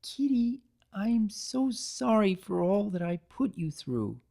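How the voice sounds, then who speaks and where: A woman voicing an excitable, tender-hearted young female cartoon pony baker, effusive, earnest and girlish. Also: medium pitch, slow pace, vocal fry, pitched above the performer's own voice, full character voice. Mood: remorseful, pleading.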